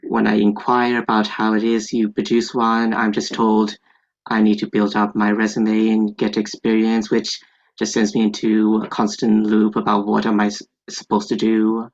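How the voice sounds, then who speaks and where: A man's voice: fairly low pitch, monotone, moderate pace. A young man, a theater student, politely and hesitantly asking a question on a dull, lo-fi online call.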